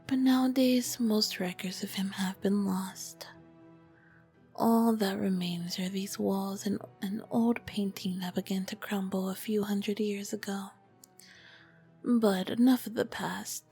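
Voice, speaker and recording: Casting: female performer as charming, dangerous royal vampire queen for ASMR roleplay; breathy whispered delivery, unhurried, courtly and flirtatious. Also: medium pitch, a little mellow, full character voice. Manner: slow, formal, flirtatious phrasing. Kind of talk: ASMR roleplay, scripted and intimate.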